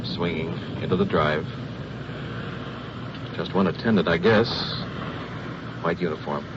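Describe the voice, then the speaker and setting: A man's voice: sits low, unhurried. A man reporting live as he rides with police, describing the scene in clipped observational phrases, in an old field radio recording. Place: city streets and police car. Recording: very noisy muffled 1950s field recording.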